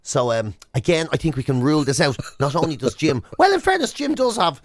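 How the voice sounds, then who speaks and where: Irish male radio co-host, fairly high voice, dry close studio sound, playful ribbing and animated storytelling. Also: fairly quick, dry recording. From Ireland. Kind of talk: casual bantering radio chat.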